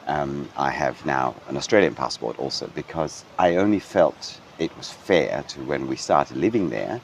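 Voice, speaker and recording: Very deep-voiced middle-aged man. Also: lively intonation, moderate pace, vocal fry, a little hiss or hum. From Denmark. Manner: thoughtful, with frequent ums and restarts. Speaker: hotel general manager. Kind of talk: relaxed interview. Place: hotel grounds.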